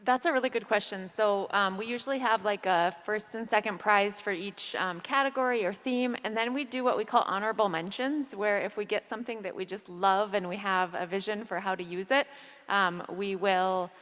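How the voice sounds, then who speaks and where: A woman's voice: medium pitch, moderate pace, a bit bright, in a large hall. A woman organizing a contest, answering an audience question hesitantly with many fillers.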